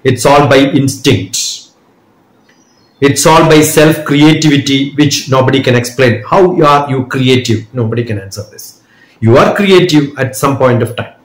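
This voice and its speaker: A man answering interview questions at length, measured and reflective, with small restarts. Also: medium pitch. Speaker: guest, educator and speaker. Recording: roomy echo.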